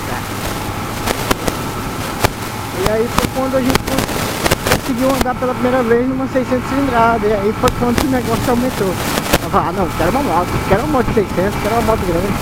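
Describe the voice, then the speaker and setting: A young man with an Amazonas Brazilian Portuguese accent talks casually and repeats himself as he rides a motorcycle through the city. A helmet camera mic picks him up outdoors. Loud road and wind noise runs under everything he says. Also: very high-pitched. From Manaus, Brazil. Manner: rambling, slangy, repeats himself. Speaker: motovlogger. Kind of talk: casual chat while riding.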